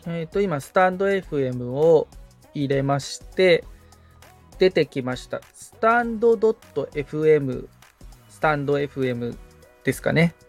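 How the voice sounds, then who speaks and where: A man's voice: a little high, animated, normal speed, a little mellow, soft background music. A man assisting on a tutorial podcast, agreeably reading out steps as he follows along politely. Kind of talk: casual, polite walk-through of on-screen steps.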